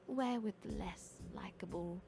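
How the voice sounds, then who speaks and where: A woman's voice: medium pitch, measured pace, gravelly, noisy background. A woman voicing a proud, composed young noblewoman student in a game. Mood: cutting. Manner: cool, poised, cutting. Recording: game audio with music behind.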